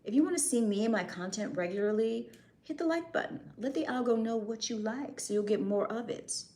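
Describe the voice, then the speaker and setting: A woman's voice: on the high side, expressive, fairly quick, dark tone. Chatty young American woman streamer, talking casually and laughing easily. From United States. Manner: chatty, answers chat comments, laughs often. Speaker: live streamer. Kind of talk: casual live-stream chat.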